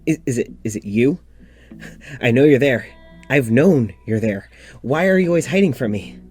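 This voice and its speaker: A man plays a weary, lonely doctor in a fiction podcast. He speaks in a confiding, halting way at a middle pitch, sighing as he unloads his troubles. Soft music sits behind the voice. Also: animated, natural voice. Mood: exasperated, pleading. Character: lonely, weary young doctor venting to his demon dog. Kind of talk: audio drama monologue.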